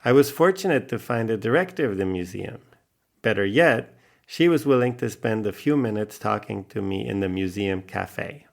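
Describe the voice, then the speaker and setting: A man's voice: on the low side, animated, moderate pace, creaky. A man from Spain hosting a travel podcast, reading scripted narration about his journey.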